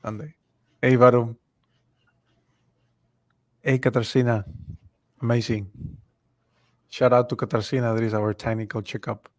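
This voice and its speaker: A man's voice: medium pitch, flat delivery, measured pace, pauses often, a little mellow. A man from Ecuador co-hosting a live online webinar, talking in an easygoing way and greeting attendees by name over a computer mic. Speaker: webinar co-host. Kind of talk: live webinar, casual chat.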